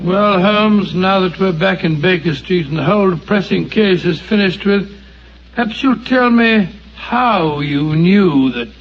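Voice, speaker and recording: An actor playing a loyal, earnest doctor companion who asks questions in an inquisitive way, on an old radio drama. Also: male voice, high-pitched, measured pace, faint music behind. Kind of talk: 1940s radio acting. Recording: dull old radio broadcast.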